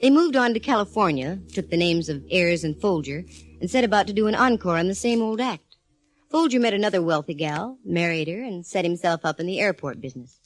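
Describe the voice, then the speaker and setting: A woman's voice: fairly low pitch, expressive, fairly quick, natural voice. An actress playing a sassy woman private eye in a 1940s radio drama, breezy and wisecracking. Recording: old radio broadcast with faint organ music.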